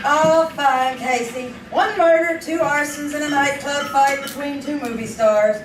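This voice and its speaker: Woman acting high, sardonic newspaperwoman, roomy sound. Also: a little slow. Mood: catty. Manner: dry, sarcastic. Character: sardonic, jealous female newspaper reporter. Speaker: amateur radio troupe actor. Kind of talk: old-time radio drama acting, live stage performance. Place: small theater, live on stage. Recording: noisy roomy theater, distant microphone.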